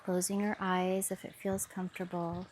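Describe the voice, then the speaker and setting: A woman guiding a mindfulness body scan meditation with soft, soothing instructions. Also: fairly low pitch, level tone, moderate pace, slight background noise. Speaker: meditation guide. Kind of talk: guided meditation.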